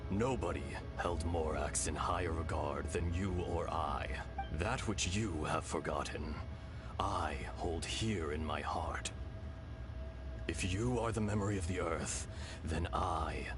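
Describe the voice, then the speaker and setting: An ancient, kindly dragon spirit for a video game. The voice sits very low and moves slowly, with solemn, sorrowful weight on each measured phrase. Music plays prominently behind it. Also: male voice. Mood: earnest, resolute. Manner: slow, grave, deliberate, measured delivery. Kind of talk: dramatic game character voice acting.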